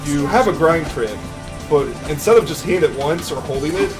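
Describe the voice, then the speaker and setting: A man with a deep voice giving relaxed live commentary on his own speedrun, chatty and explaining techniques as he plays, often trailing off and restarting sentences. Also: brisk. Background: game music.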